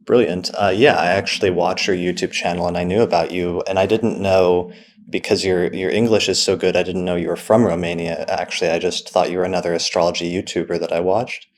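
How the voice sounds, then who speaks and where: Male podcast host, very deep voice, casual interviewer prompts. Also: flat delivery, fairly quick. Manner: brief, friendly prompts with fillers. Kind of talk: interview questions.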